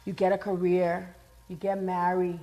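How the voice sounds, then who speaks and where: A woman's voice: lowish voice, flat delivery, moderate pace, warm tone. A woman from Liberia, a guest in a casual chat, talking earnestly and repeating points for emphasis.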